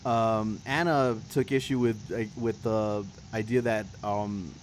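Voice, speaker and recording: A man hosting a political talk show, discussing news casually in halting, hesitant phrasing. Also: fairly low pitch, steady tone, slight background noise.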